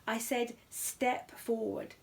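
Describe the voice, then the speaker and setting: A woman's voice: fairly high pitch, level tone, slow pace, gravelly, pitched above the performer's own voice. Storybook quiz compère, read by a woman: male, showy announcer delivery, strained patience, easily exasperated. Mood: cross. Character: strained, cross male quiz show master of ceremonies.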